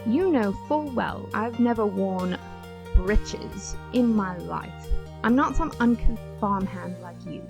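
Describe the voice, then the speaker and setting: A woman's voice: mid-range voice, lively intonation, takes it slowly, gravelly. A woman playing a haughty, prim young noblewoman with a condescending, sarcastic manner in an audio drama. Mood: indignant. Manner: condescending, drawling, prissy. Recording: music behind the voice.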